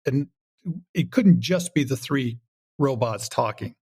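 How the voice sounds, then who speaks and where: A man's voice: mid-range voice, expressive, slow pace, mellow, husky. A man speaking casually as an interview guest, explaining his writing and acting out imagined reactions as he goes. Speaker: guest, comedy writer and show creator.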